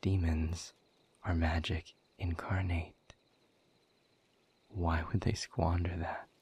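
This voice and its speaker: A world-weary demon in charge of a haven, acted out by a man in an audio roleplay. He speaks slowly and very low, drawling sarcasm in polished, wordy sentences. The voice is heavily husky and breathy. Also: little pitch movement, a little mellow, exaggerated voice. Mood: grim, bitter. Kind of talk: scripted audio roleplay monologue addressed to the listener.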